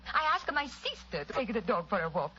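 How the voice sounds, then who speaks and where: A woman's voice: medium pitch, big pitch swings, brisk, pushed and bright, lo-fi sound, slight background noise. A woman acting an imperious, distressed opera diva with an Italian accent and broken English, melodramatic in old-time radio style. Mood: grave, distressed.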